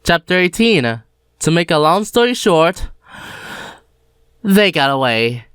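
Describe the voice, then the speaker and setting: A woman reading a children's book aloud with lively, expressive storytelling. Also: sits low, slow pace, clear, resonant tone, dry recording, clean studio sound. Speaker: storybook reader.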